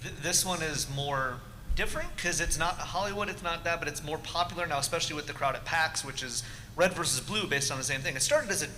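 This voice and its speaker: A man's voice: on the high side, fast. A man from the audience asking questions casually at a live panel. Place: large convention panel room. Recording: echoey hall, faint background noise.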